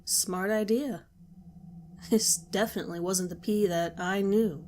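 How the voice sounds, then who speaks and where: A woman narrating a horror story in a slow, hushed voice that sometimes drops to a whisper. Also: mid-range voice, steady tone, a little hiss or hum.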